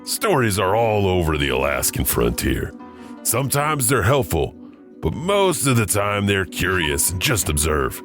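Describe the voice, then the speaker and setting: A man narrating legends in a measured, storytelling manner, with faint music behind. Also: deep voice, big pitch swings. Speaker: narrator host. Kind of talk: scripted horror narration.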